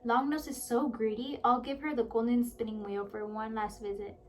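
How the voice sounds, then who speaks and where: A woman's voice: fairly high pitch, steady tone, normal speed, distant mic, a little hiss or hum, natural voice. A woman voicing an eager, brave young girl heroine in a children's storybook. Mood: determined. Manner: eager, pleading.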